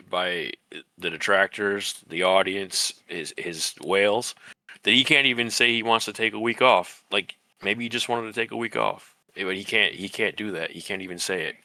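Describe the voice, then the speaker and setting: A man's voice: lowish voice, normal speed, bright, forceful tone, no echo. A man co-hosting a casual commentary chat, stammering over repeated words and giving short agreements. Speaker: co-host.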